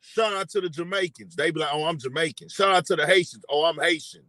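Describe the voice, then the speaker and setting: A young man hosting a casual commentary stream, animated and repetitive, often asking the audience questions. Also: a little high, quick pace, no echo. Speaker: commentary show host. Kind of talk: casual live commentary to viewers.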